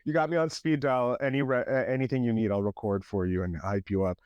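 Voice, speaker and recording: A man's voice: mid-range voice, fairly even intonation, fast, darkish tone, clean tone, dry recording, highs cut off. A man hosting a podcast interview, talking casually with plenty of fillers and restarts as he hypes up his guest. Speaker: podcast host.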